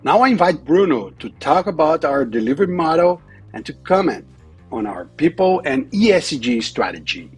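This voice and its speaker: Husky, animated man presenting. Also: fairly high pitch, moderate pace, faint music behind. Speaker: company executive presenter. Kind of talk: prepared corporate earnings call presentation.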